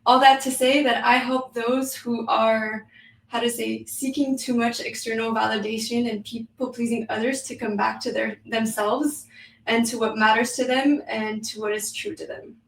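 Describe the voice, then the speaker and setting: French-accented woman, 30s, chatty guest, bit high, echoey room a little off mic. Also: steady tone, moderate pace, gravelly, a bit bright, recorded from a distance, slight background noise. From New York City, United States. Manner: chatty, with frequent "um" and "like" fillers. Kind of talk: casual interview.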